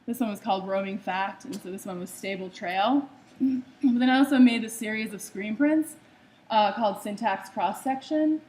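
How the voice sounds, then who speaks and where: A woman's voice: mid-range voice, normal speed, darkish tone, poor audio. A young woman from the United States giving a slide talk about her own art. She speaks conversationally, with frequent ums and uhs.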